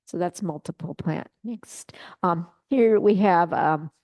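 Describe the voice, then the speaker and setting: A middle-aged woman giving a relaxed, chatty slide-show talk to a club, with many fillers and restarts. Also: on the low side, slow, dry recording, clean studio sound. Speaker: guest presenter at a bonsai club meeting.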